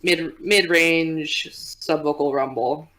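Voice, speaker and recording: A young woman with a low, easygoing voice that carries a soft rumble. She talks in a loose, chatty way, laughing often as a podcast guest. Her pitch sits a little under most women's. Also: takes it slowly. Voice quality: low voice with a relaxed vocal rumble. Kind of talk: casual podcast interview.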